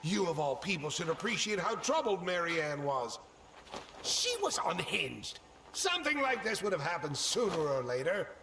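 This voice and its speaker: Defensive middle-aged politician, higher-pitched, pleading, game drama, roomy echo. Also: male voice, very animated, moderate pace, pushed and bright, a little hiss or hum, full character voice. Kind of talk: dramatic video game cutscene acting.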